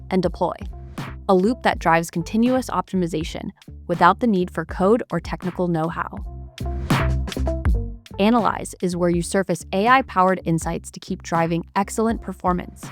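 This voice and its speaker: A woman reading a scripted product walkthrough voice-over in a clear, even, explanatory way. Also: on the low side. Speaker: product demo narrator.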